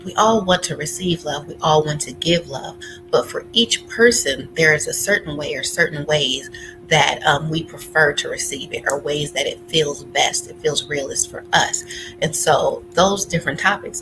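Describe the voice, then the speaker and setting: A woman's voice: low voice, poor audio. A woman giving an informal explanatory lecture as a relationship workshop speaker, conversational and voicing example phrases as she goes.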